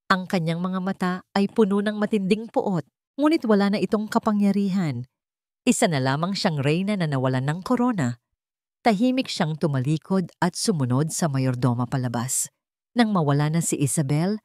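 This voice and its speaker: A woman with a somewhat low voice narrates a scripted romantic drama. She reads as a storyteller, in a dry recording with little room sound. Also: darkish tone, no room sound.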